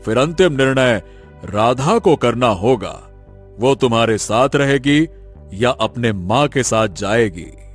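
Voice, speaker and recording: A man voicing a grave, all-knowing hermit sage who speaks in measured pronouncements in a storybook tale. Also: mid-range voice, animated, faint music behind. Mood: solemn. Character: solemn, all-knowing male forest sage.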